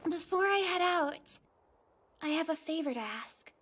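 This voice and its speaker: A woman's voice: very high voice, lively intonation, unhurried, fairly bright tone, a little hiss or hum, heavily acted. An actress in an English anime dub playing an earnest, emotional young girl with a breathless delivery. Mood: soft awe.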